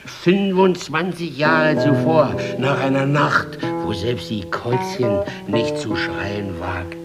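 A man's voice: medium pitch, lively intonation, slow pace. An actor playing a boastful, taunting male street musician in a theatrical radio drama style. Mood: boastful, teasing. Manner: taunting, theatrical, repeats himself. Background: plucked guitar music.

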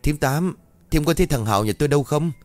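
A man's voice: on the high side, expressive, fast, faint music behind, voice pushed up, lightly acted. A man voicing a frantic middle-aged village mother who panics and calls out for her son. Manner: panicked, wailing, calling out.

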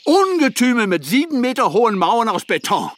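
A cynical Irish ex-militant in a crime radio play. His voice is high and bright for a man, with big swoops in pitch. He talks with sarcastic, theatrical scorn. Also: sing-song, normal speed, slight background noise, heavily acted. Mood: scornful, indignant. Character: mocking Irish ex-IRA man, cynical double agent.

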